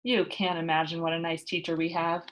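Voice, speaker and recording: A woman's voice: on the low side, flat delivery, a little fast, a little hiss or hum, pitched below the performer's own voice, close to an everyday voice, rough recording. A woman voicing eager, wheedling schoolchildren from a storybook, earnest and coaxing.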